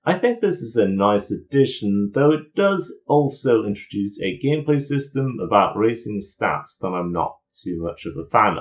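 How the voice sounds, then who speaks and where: A man's voice: fairly low pitch, darkish tone. A man giving an opinionated, explanatory video review in an informal commentary style.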